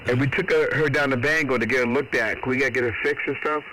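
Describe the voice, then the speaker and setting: A man's voice: medium pitch, fairly even intonation, quick pace, background noise. A middle-aged man, chatting on amateur radio in a folksy, rambling, mildly salty way. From Boston. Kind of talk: casual radio chat.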